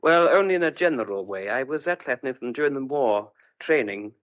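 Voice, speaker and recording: A man's voice: medium pitch, expressive, a little fast, lightly acted. Uneasy, well-mannered stranger played by a man, mid-range voice, guarded and apologetic, dull-sounding audio drama. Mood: polite, uneasy. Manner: courteous, hesitant, apologetic. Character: polite, reserved, uneasy male stranger, apologetic houseguest.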